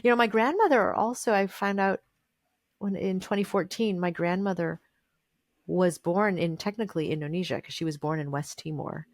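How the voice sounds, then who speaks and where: Low-voiced woman musing in a dry room. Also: normal speed, no echo. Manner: thoughtful, meandering, with many fillers and restarts. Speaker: guest musician. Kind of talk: casual interview conversation.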